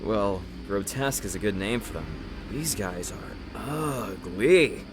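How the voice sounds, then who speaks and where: A man's voice: lowish voice, lively intonation, slow pace, background noise. An actor playing an enthusiastic, impatient, blunt young man in a casual audio drama. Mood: mocking. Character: eager, blunt young friend keen on scares.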